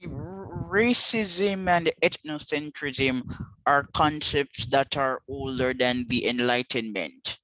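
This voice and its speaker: A man from Jamaica with a Jamaican accent, hosting a scholarly interview in a measured way, sometimes repeating the first words of a phrase. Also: medium pitch, sharp tone, no echo, rough recording. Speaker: podcast host, interviewer.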